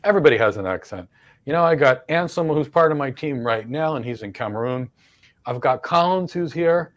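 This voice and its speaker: A man's voice: mid-range voice, expressive, a little fast. Emphatic Philadelphia man hosting live Q&A. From United States. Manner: emphatic, repeats key words for stress. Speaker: host, career mentor. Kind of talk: live Q&A, answering career questions.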